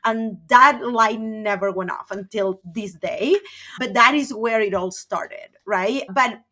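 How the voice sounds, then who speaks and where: A middle-aged woman from Argentina, a podcast guest telling her career story in a chatty, animated way full of fillers. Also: mid-range voice, slow pace. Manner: animated, chatty, uses many fillers like "like" and "right?". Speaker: podcast guest, personal branding coach. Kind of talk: conversational interview storytelling.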